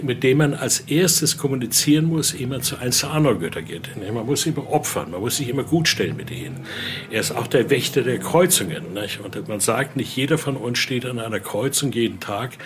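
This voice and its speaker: A man giving an informal guided tour, explaining things and often adding small tag questions. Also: mid-range voice. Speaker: museum guide and voodoo expert. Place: indoor exhibition room. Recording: faint background noise on location.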